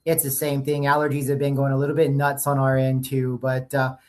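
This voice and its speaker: Man, podcast host, from the US, middle pitch, casual fillers, remote call. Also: monotone, fairly quick, a little mellow. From United States. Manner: friendly, loose, conversational with fillers.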